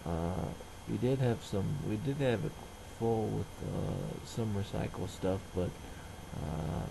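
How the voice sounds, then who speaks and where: A middle-aged man casually narrating everyday tasks in a halting, rambling, plain-spoken way. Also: on the low side, creaky, background noise. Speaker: vlogger.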